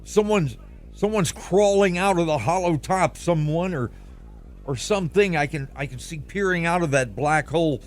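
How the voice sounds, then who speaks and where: A man's voice: on the high side, moderate pace, natural voice. Man acting as a stammering, frightened radio reporter. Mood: awed horror. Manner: stammering, breathless eyewitness description. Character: frightened male radio reporter at alien landing site. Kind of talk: old-time radio drama acting.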